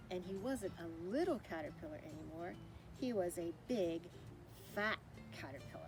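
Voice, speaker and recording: A woman reading a children's story aloud as a naturalist, with simple expressive phrasing and asides that put questions to young listeners. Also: medium pitch, low-quality recording. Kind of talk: children's story time read-aloud with show-and-tell asides.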